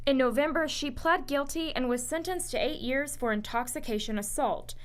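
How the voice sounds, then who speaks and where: A woman's voice: high voice, normal speed. A woman news reporter and anchor reading scripted television news narration.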